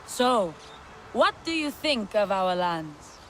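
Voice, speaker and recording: A proud plains rider of an alien clan. Her mid-range voice is earnest and measured, formally phrased for a video game drama, with faint noise in the background. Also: mid-range voice, big pitch swings, fairly bright tone, poor audio. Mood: welcoming.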